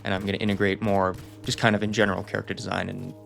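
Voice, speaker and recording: Low gravelly man giving a rambling update. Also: little pitch movement, very fast, fairly bright tone, faint music behind. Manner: rambling, self-deprecating, reassuring. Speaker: channel host. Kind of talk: casual channel update monologue.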